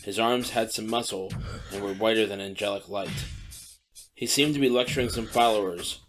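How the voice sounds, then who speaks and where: Low-voiced man narrating over music. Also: normal speed, poor audio. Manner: steady descriptive reading. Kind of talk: scripted audiobook narration.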